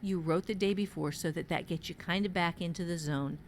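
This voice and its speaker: A woman's voice: on the low side, a little fast, slight background noise. A woman giving casual, conversational writing advice as a podcast host, emphatic and repeating words for stress.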